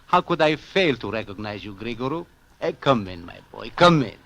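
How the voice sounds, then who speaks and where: A man's voice: on the low side, very animated, normal speed, gravelly, very noisy. An actor playing an effusive, fussy elderly prince and prime minister, fatherly but insistent, in an old-time radio drama. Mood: effusive, delighted. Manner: courtly, fussy, exclaiming.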